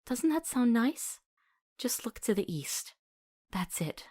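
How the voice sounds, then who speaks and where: A woman's voice: a little high, big pitch swings, slow, noisy background. A woman playing a compassionate, earnest and stubborn companion to a monster hunter in a visual novel. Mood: gentle, soothing.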